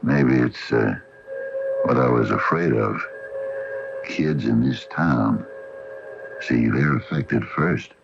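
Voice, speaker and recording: An actor playing a genial, teasing small-town hardware store owner in a 1980 radio drama. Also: male voice, rumbling low, takes it slowly, gravelly, pauses often, music in the background, full character voice. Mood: uneasy, coaxing. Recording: dull, hissy 1980 AM radio broadcast.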